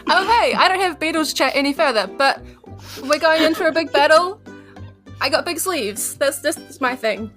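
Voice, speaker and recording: A woman's voice: high-pitched, expressive, normal speed, a bit bright, faint music behind. A woman chatting casually and playfully as a podcast player.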